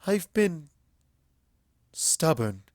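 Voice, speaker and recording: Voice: mid-range voice, sing-song, darkish tone, dry recording, clean studio sound, pitched above the performer's own voice. Storybook alicorn princess, done by a narrator: mature female mentor, stately, careful, hesitant, voice lifted above plain narration. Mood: regretful. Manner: formal, measured, hesitant.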